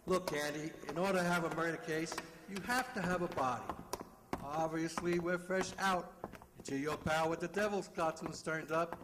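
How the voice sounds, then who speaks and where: An actor playing an unruffled, teasing police inspector in an old-time radio drama, speaking in a dry, offhand way. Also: male voice, on the high side, fairly even intonation, moderate pace, natural voice. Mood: dismissive. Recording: echoey hall-like radio drama, faint noise.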